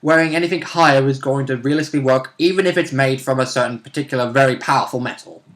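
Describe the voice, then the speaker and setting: Male tabletop player, mid-pitched, informal, rambling through rules and play in casual chat. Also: fast talker, a bit bright. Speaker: player in a tabletop role-playing game.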